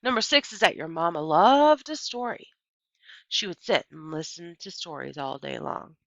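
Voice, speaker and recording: A gentle preacher dad, voiced by a woman reader, reflective and measured as in a storybook. The delivery is bright and very expressive in pitch, recorded close and dry with a slightly muffled finish. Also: vocal fry, dry recording. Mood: wistful. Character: gentle, thoughtful preacher father recalling his wife. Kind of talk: children's audiobook character voice.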